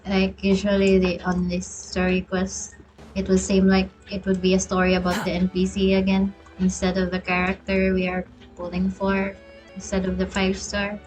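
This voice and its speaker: A woman game streamer giving casual reaction commentary, halting and trailing off mid-thought. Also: mid-range voice, completely flat delivery, a little mellow, rough recording. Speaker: gaming streamer reacting to a story quest. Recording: game music faintly behind.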